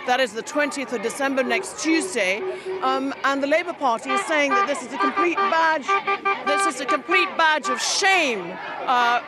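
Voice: high-pitched, lively intonation, normal speed, loud music behind the voice. A reporter speaking live from a street protest outdoors, talking conversationally with fillers. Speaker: field reporter. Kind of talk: live on-scene news report. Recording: street crowd loud behind the voice.

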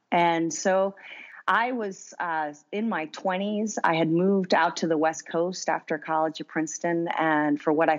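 A woman's voice: sits low, dull audio. An American woman, a guest on an interview podcast, telling her family story in a friendly way and laughing often. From United States. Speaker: guest, winery founder. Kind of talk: interview conversation.

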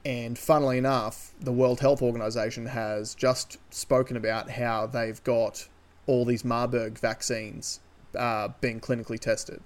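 A man's voice: medium pitch, little pitch movement, unhurried. An Australian man co-hosting a podcast, talking casually and opinionatedly in a sardonic way, with some casual swearing. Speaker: podcast co-host. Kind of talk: casual opinionated chat about news.

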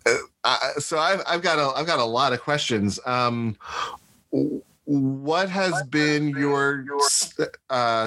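Male podcast co-host, mid-pitched voice, hesitant and filler-heavy. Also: sharp tone, no echo. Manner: hesitant, many ums and uhs. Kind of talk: casual interview hosting.

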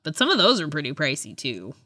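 A woman with a low, bright voice talks casually as a podcast co-host. She rambles in a chatty way, hedging and letting sentences trail off, and the sound is close and dry. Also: wildly swooping pitch, quick pace, no echo. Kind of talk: casual fan chat.

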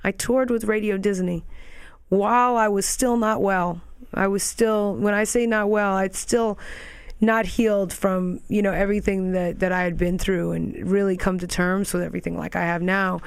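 A young woman with a raspy voice, chatting as an interview guest and drifting into quick tangents and restarts. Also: medium pitch, steady tone, dry recording. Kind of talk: casual interview chat.

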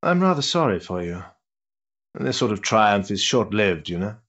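An actor playing a worldly older duke, an amused, teasing schemer with an urbane, roguish manner in a period stage drama. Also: male voice, fairly low pitch, moderate pace, dull audio, exaggerated voice. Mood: rueful. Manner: urbane, roguish, patronising flattery with chuckles.

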